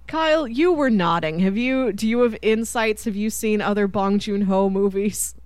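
A woman's voice: mid-range voice, normal speed, darkish tone, clear, resonant tone, dry recording. A woman hosting a podcast, casually discussing films and drawing others in with questions. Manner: conversational, draws others in with questions. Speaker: podcast host.

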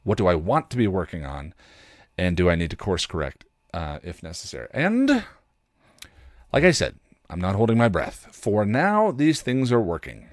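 Man, podcast host, very lively intonation, self-deprecating, casual monologue, close dry recording. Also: low-pitched, normal speed, dry recording. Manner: animated, self-deprecating, rambling; acts out his own inner dialogue.

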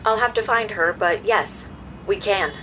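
An earnest public health robot in an audio drama. The phrasing is precise, formal and courteous. Background ambience sits clearly behind the voice. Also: female voice, mid-range voice, unhurried, pushed and bright, a little hiss or hum, low-quality recording. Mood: earnest, composed.

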